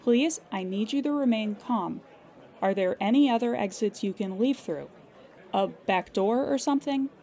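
Woman playing a calm, patient hotline operator. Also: a little high, normal speed, natural voice. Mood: firm, reassuring. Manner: calm customer-service politeness with dry exasperation. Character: weary, patient female emergency hotline operator. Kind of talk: audio comedy drama acting.

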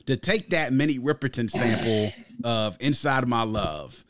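A man's voice: medium pitch, lively intonation, measured pace, dry recording, studio quality. A man hosting a music podcast, giving casual, opinionated album commentary in an emphatic, slangy way. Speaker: podcast host reviewing music.

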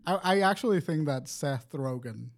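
A man's voice: on the high side, expressive, normal speed. A man co-hosting a podcast, chatting casually and swearing, easily amused. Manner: profane, easily amused banter. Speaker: podcast host. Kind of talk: casual chat.

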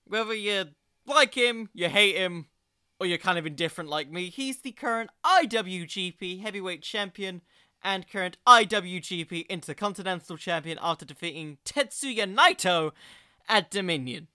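A man's voice: sits high, animated, normal speed, pushed and bright, clean tone, no echo, clean studio sound. A young man hosting an informal solo podcast, rambling along in a jokey, self-deprecating way. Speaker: podcast host.

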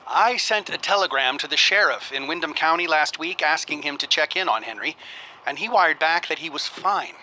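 An actor playing an anxious, puzzled scholar in an audio drama, speaking in a hesitant, earnest way. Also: male voice, a little high, bright, forceful tone, slight background noise, poor audio. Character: anxious, puzzled male scholar documenting strange events.

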